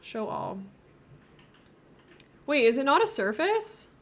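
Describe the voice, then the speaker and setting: A woman's voice: high voice, very animated, takes it slowly. A woman game streamer chatting casually and thinking aloud as she builds, with a giggly, informal manner.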